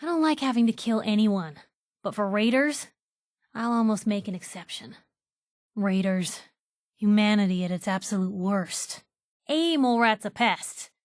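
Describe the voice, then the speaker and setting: Actress as young female reporter, American accent, video game companion, plucky, wry wisecracks, conversational delivery, mid-pitched, dry recording. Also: lively intonation, moderate pace, no echo, natural voice. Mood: disgusted. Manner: sardonic, wisecracking, casual.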